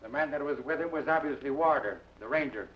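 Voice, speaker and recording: A stern, formal bandit captain played by a man in an old radio drama. He talks quickly in a clipped way. The muffled recording is echoey, with clear hiss. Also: a little high, distant mic, natural voice. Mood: scornful.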